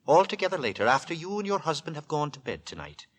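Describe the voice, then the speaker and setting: An actor playing a quiet, secretive lodger with a hesitant, formal, fussily courteous manner in 1940s radio style. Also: male voice, a little high, big pitch swings, fast. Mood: courteous, intense. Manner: hesitant, formal, polite but curt. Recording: vintage 1940s radio drama with music.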